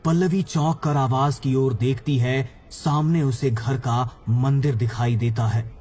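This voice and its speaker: A man's voice: medium pitch, measured pace, darkish tone. A man narrating a scripted horror story for a cartoon. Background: background music.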